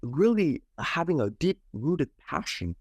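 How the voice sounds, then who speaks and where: A man's voice: on the high side, sing-song, slow, slightly rough, no room sound. A man from Canada, born in India, speaking as an interview guest in a polite, earnest, wordy way with hesitant repeats. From Toronto, Canada, born in Mumbai, India. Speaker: interview guest, environmental scientist. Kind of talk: conversational personal storytelling.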